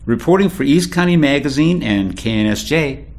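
A man delivers a local news report for radio. He reads in a measured, sober way, with a middle-pitched voice. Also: animated, darkish tone. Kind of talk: scripted radio news read.

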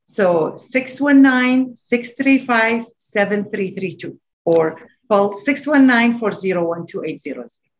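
A woman's voice: a little high, lively intonation, measured pace, slight background noise. Earnest woman, English. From Iraq. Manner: earnest, repeats key phrases, non-native grammar. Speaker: nonprofit founder, guest. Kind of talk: interview answers.

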